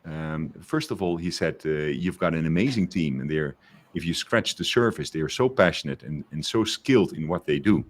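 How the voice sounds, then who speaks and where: A man with a very low voice co-hosts a podcast in a relaxed conversational style. He hesitates often and restarts his sentences as he looks for the right words. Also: normal speed. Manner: hesitant, many fillers and restarts. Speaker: podcast co-host. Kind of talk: casual conversation.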